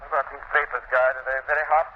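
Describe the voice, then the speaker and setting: An actor playing a suave, inquisitive amateur sleuth in a 1930s radio drama, crisp, deliberate and commanding. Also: male voice, medium pitch, flat delivery, natural voice. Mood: cautious, commanding. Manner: crisp, deliberate, probing questions. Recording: muffled, echoey, hissy 1930s radio recording.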